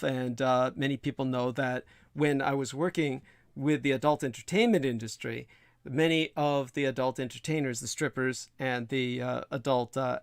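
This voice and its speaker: A man hosting a livestream, giving a rambling, scornful opinion monologue in a creaky voice with many drawn-out hesitations and coarse language. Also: medium pitch, fairly bright tone, no room sound, studio quality. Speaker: livestream host.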